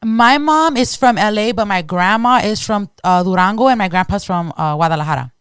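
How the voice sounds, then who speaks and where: A young woman from Los Angeles hosting a casual podcast, animated and slangy, laughing often. Her voice is smooth and clear. The recording is dry and close, with no room sound. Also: mid-range voice, a little fast, no echo. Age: 20s. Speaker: podcast host.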